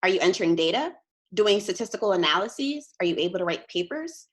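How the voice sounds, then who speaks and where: A woman's voice: mid-range voice, expressive, a little fast. A young woman informally moderating a student panel. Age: young adult. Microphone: computer mic on video call.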